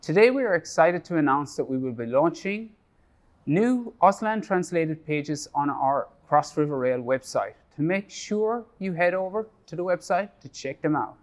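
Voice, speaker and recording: Man reading a measured project update. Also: a little high, animated. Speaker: project staff presenter. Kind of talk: scripted presentation.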